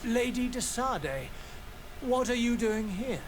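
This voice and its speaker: A man's voice: extremely high pitch, expressive, takes it slowly, full character voice. An actor playing a resentful, grieving middle-aged father in a video game, speaking formally and bitterly. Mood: defensive. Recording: clear background noise from game ambience.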